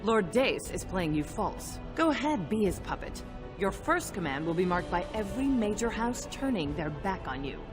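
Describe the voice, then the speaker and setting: A woman playing a shrewd, imperious older dwarf noblewoman in a fantasy game, sharp-tongued and commanding. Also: mid-range voice, big pitch swings, normal speed, lightly acted. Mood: scolding. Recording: music behind the voice.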